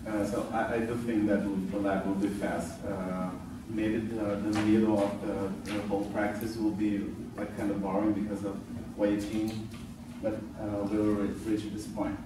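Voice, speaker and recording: A young man with a Brazilian accent, giving a chemistry lesson in a classroom with many pauses, fillers and restarts. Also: lowish voice, little pitch movement. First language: Portuguese. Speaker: student teaching a practice class. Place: classroom with whiteboard. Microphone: far camera at back of room. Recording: echoey room from far off, noisy.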